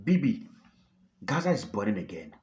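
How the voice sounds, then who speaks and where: A man voicing grave, blunt male world leaders in a retold phone call, speaking firmly and deliberately. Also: lowish voice, big pitch swings, darkish tone. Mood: grave, warning. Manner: heavy, deliberate, firm.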